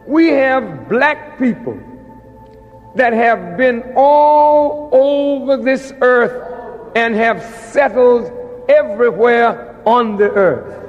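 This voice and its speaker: A man giving a public lecture like a preacher, deliberate and emphatic, with repeated phrases and rhetorical questions. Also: very high-pitched, lively intonation, faint music behind. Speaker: preacher and orator. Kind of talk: public lecture, oratory to an audience. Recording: dull, reverberant hall recording.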